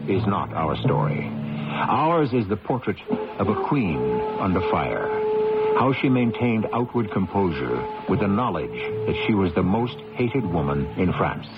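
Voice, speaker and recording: A man narrates an old radio mystery as its host. His low voice is grave and deliberate, with theatrical swoops in pitch. Music plays behind the slightly muffled recording. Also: sing-song. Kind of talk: dramatic scripted radio narration.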